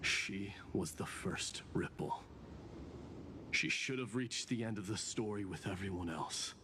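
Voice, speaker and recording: An actor playing a grave, battle-worn god of strife in a video game, speaking in deliberate declamation. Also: male voice, lowish voice, background noise, lightly acted. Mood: solemn. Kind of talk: scripted video game drama.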